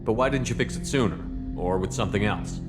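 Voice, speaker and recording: An actor playing a serious military space commander in a video game, with a matter-of-fact manner. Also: male voice, lowish voice, quick pace, loud music behind the voice, natural voice. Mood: probing, serious.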